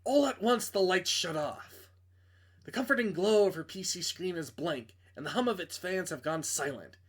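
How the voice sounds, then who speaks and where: A man's voice: high voice, expressive, moderate pace, slightly rough. A man reading a visual novel aloud as a streamer, narrating dramatically and breaking off into casual, joking asides to correct himself.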